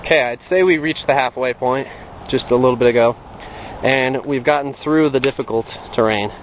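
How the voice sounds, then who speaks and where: A man's voice: medium pitch, brisk. A young man casually talking to camera while hiking an outdoor trail, with frequent ums. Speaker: vlogger. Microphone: handheld camera. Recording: tinny lo-fi camera audio, loud wind noise.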